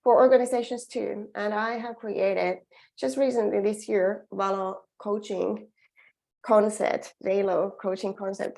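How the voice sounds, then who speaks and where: A middle-aged Finnish woman speaks English with her native accent, presenting a coaching webinar. Her voice has a gravelly, creaky edge at a middle pitch. She sounds earnest and encouraging and often restarts her sentences. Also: darkish tone. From Finland. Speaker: emotional well-being coach.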